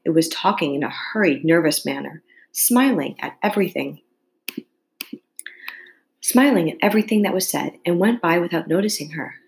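A woman with a slightly low voice reads a children's classic as a teacher would to students. Her storybook narration is careful and expressive. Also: normal speed, vocal fry. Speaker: teacher reading aloud to students. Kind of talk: storybook read-aloud.

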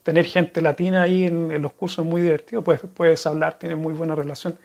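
A man's voice: a little high, little pitch movement, fairly quick, creaky, a little mellow. A man with a Chilean accent, chatting casually to viewers and answering their questions in a rambling, friendly way. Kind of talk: casual chat to camera.

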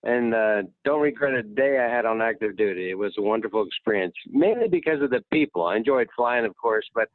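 A man in his sixties, a retired general and guest, telling his life story in a relaxed, plainspoken way. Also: on the low side, fairly quick, few pauses, no room sound. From United States. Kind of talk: casual interview talk. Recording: tinny phone line, dry.

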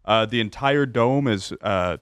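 A man on the podcast crew explaining something casually, halting with many fillers. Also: lowish voice, a bit bright, clean tone, no echo, clean studio sound.

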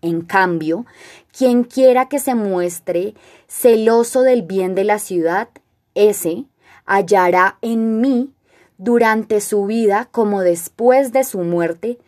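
A woman performs a stern, proud king from classical tragedy, addressing the elders. The delivery is very slow and solemn, with measured, declamatory phrasing at a middle pitch. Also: a little mellow, natural voice. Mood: solemn, commanding. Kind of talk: classical tragedy monologue, recited.

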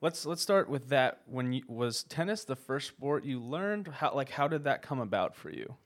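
A man's voice: mid-range voice, animated, normal speed. A man hosting a university sports podcast, reading a scripted intro and then asking conversational interview questions. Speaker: podcast host.

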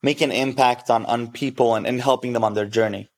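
Man, interview host, mid-pitched voice, eager, lots of follow-up questions. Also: steady tone, fairly quick. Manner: enthusiastic, asks follow-up questions. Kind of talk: casual interview.

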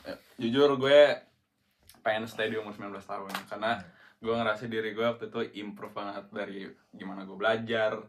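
A man's voice: on the low side, fairly even intonation, fairly quick, a bit bright, slight background noise. Rambling young Indonesian guy. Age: young adult. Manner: slangy, rambling with restarts. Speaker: podcast co-host. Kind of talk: casual chat.

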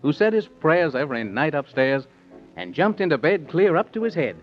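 A man's voice: fairly high pitch, sing-song, moderate pace, faint music behind. A man narrating a children's story in rhyme, with a playful, sing-song 1930s radio delivery. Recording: dull old 1930s radio recording.

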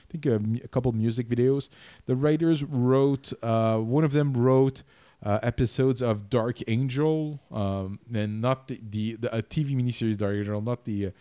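A man co-hosting a podcast in casual chat, talking haltingly with many restarts and fillers. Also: medium pitch, warm tone, smooth. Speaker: podcast co-host.

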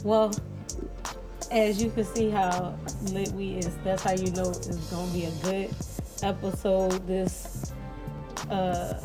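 A woman's voice: mid-range voice, level tone, slow, long pauses, over music, poor audio. A woman hosting a casual chat show, playful and teasing as she hypes the episode. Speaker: podcast host.

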